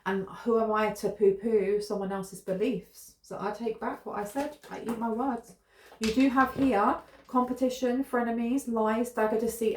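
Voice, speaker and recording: A British woman giving a casual tarot reading to camera, thinking aloud in a meandering, musing way with fillers. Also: a little high. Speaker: tarot reader. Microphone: camera close in front. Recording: echoey room.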